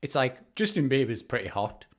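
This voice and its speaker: A man's voice: medium pitch, very animated, moderate pace. A British man giving casual, self-mocking reaction commentary as a YouTuber.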